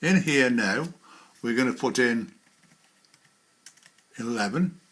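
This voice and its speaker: A man with a bright, clear-cut voice of middling pitch gives an informal tutorial. He talks through each step while doing it, in a practical, hands-on manner. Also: animated, slow. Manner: hands-on, talking through each click as he goes. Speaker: presenter of a how-to video. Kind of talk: informal step-by-step software demonstration.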